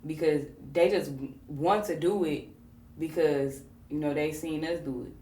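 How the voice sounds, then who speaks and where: A woman hosting a podcast, talking in a relaxed, conversational way with plenty of fillers. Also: low-pitched, a little slow, roomy sound, far from the mic, low-quality recording. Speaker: podcast host. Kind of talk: casual conversation.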